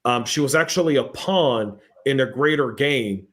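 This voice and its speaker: A man hosting a podcast panel, telling lively stories and reading out viewer comments in a casual, chatty way. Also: mid-range voice, normal speed. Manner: animated storyteller, acts out exchanges. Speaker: podcast host.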